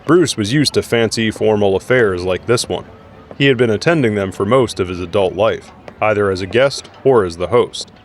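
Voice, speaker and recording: A man's voice: on the low side, moderate pace, a little hiss or hum. A man reading scripted narration for an audio drama. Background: music.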